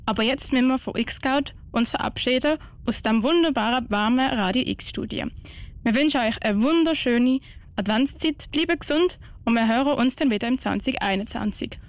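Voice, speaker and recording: Female radio presenter, Swiss German accent, fairly high pitch, studio hosting. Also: moderate pace. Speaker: radio show host.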